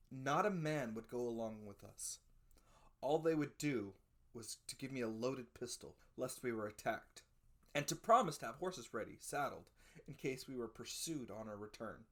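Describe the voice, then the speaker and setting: A narrator giving a dramatic scripted reading of a classic adventure story, with expressive, theatrical emphasis. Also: mid-range voice. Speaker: audiobook narrator.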